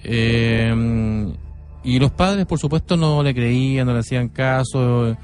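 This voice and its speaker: A man with a Chilean accent telling stories unscripted on radio in a halting, deliberate way, repeating phrases. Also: medium pitch, a little mellow, faint music behind. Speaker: radio co-host and storyteller.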